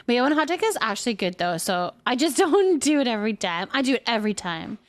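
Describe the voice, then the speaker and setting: A woman's voice: on the high side, big pitch swings, fast. A woman chatting casually and playfully as she hosts a livestream and responds to viewers' comments. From Canada. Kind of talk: casual chat, reading and answering viewer comments.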